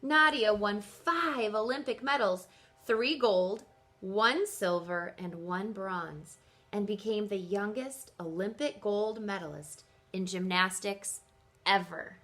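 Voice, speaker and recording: A woman teacher reading aloud to children in an expressive way, speaking directly to her young listeners and posing questions to them. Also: mid-range voice, slow.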